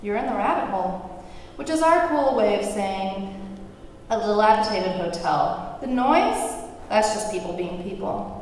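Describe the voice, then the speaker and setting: A woman's voice: mid-range voice, expressive, measured pace, a little mellow, close to an everyday voice. A woman playing a flippant, sweary, streetwise character in her mid-twenties at a script table read. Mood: casual, teasing. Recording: echoey room, distant mic, background noise.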